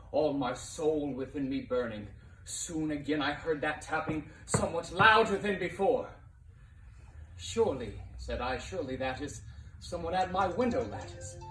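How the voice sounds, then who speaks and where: Theatrical man reciting poetry in a roomy space. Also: a little high. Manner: theatrical, swelling from hushed to impassioned. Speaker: narrator reading a poem aloud. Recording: roomy, distant mic with faint noise.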